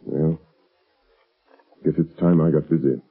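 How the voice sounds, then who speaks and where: Suave mysterious crime fighter, super deep slow voice, old muffled 1930s radio. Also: male voice, steady tone, slightly rough, full character voice. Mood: calm, resolute. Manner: measured, dramatic, portentous. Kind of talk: 1930s radio drama acting. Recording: muffled tinny 1930s radio broadcast recording.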